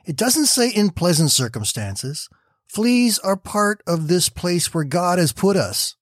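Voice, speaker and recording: A serene, devout woman held in a prison camp, speaking with calm, gentle insistence in a raised, lighter voice, as a man puts her on in a reading. Her tone stays soft and steady, with no harshness in it. The sound is close and dry. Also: sits high, unhurried, no room sound, pitched above the performer's own voice, exaggerated voice.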